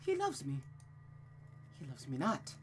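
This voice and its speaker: A woman's voice: low voice, huge pitch swings, measured pace, slight background noise, heavily acted. A woman voicing a frantic, unhinged and terrified young woman from a visual novel, with a pleading manner. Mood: giggly, unhinged.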